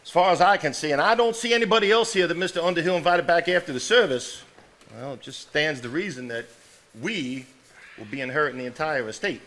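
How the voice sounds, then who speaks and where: Bright, blunt Southern gentleman acted by a man. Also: a little high, lively intonation, fairly quick. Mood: blunt, contrary. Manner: argumentative, drawling, blunt. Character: contrary, blunt elder brother of Southern gentry. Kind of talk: live stage drama acting.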